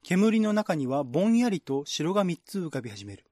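Male narrator, scripted radio-drama reading, higher voice, very expressive pitch, dry close sound. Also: moderate pace, no room sound.

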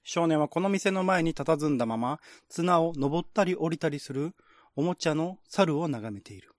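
A man's voice: a little high, animated, moderate pace, no room sound, studio quality. A man who works as a radio drama host and narrator, presenting and reading scripts.

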